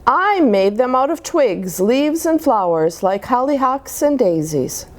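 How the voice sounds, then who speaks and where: A woman's voice: high voice, sing-song, a little slow, a little hiss or hum, voice pushed up. A woman reading the part of a kindly grandmother in a storybook, reminiscing wistfully about simple homemade play. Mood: fond reminiscing.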